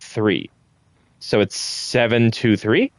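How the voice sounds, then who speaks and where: A man's voice: lowish voice, takes it slowly, dry recording. A man giving casual gameplay commentary, thinking aloud and muttering to himself with the odd swear word. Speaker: gamer recording a playthrough.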